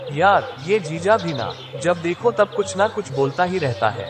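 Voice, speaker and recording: A man voicing a sly, talkative young brother-in-law in a cartoon story, in a chatty, lively manner. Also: on the high side, unhurried. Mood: grumbling. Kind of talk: cartoon voice acting.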